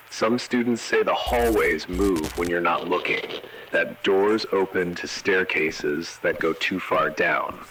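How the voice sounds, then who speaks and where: A man voicing a grave emergency announcer in an analog horror video, giving measured, solemn warnings. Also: deep voice, sharp tone, slight background noise, poor audio. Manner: measured, ominous, short solemn sentences. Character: grave male emergency broadcast announcer issuing eerie warnings. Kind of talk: analog horror scripted narration.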